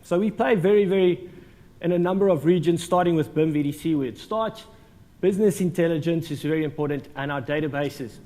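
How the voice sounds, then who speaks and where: A man's voice: fairly high pitch, darkish tone, big-room sound. A man with a South African accent giving a jokey, conversational conference talk, with lots of ums and uhs. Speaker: conference speaker. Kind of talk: conference presentation. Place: large conference hall.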